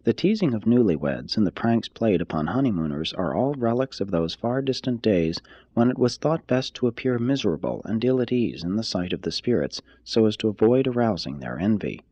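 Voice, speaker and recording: A man reading a scripted expository text aloud like an audiobook, in a formal, measured manner. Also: lowish voice, expressive, mellow, breathy edge, no room sound, highs cut off. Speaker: reader, narrator.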